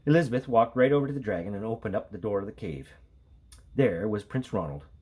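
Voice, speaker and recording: A man reading a children's storybook aloud as a teacher, in an expressive narrating style. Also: on the low side, quick pace, slightly rough.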